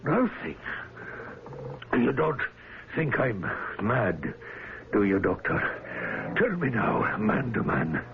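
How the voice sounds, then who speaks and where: An actor playing a brooding ship's captain who fears madness, gruff and halting in a radio drama. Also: male voice, medium pitch, very animated, full character voice. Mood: troubled, probing. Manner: gruff, halting, probing, old-fashioned seafarer's speech. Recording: muffled old radio recording, faint hiss.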